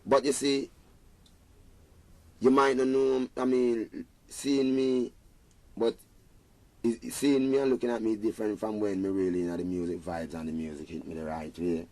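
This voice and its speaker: Deep-voiced Jamaican man, earnest and rambling. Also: flat delivery, normal speed, long pauses, a little mellow, no room sound. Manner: earnest, rambling, patois phrasing. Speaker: interviewed reggae singer. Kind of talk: casual interview talk.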